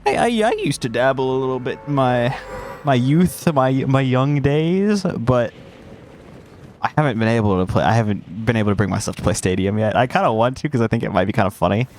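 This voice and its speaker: A man's voice: mid-range voice, animated, brisk, darkish tone. A young man with a congested voice, chatting in a loose, rambling way while commenting on his gameplay. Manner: rambling and self-interrupting, drops slang. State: congested, recovering from a cold. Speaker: gaming streamer.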